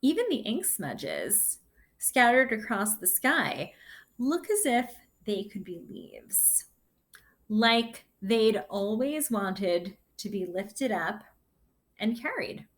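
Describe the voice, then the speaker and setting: A woman teacher giving a read-aloud lesson for children, reading a picture book with gentle, deliberate, expressive phrasing and her own commentary. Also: a little high. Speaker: sixth grade teacher.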